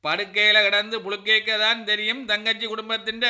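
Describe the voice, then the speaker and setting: A bitter, scornful middle-aged brother-in-law with a Sri Lankan Tamil accent, as a man acts him out in a radio play. His voice is high for a man and very bright, with a forceful edge as he threatens and cuts off family ties. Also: completely flat delivery, a little fast. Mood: angry. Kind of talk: radio drama acting.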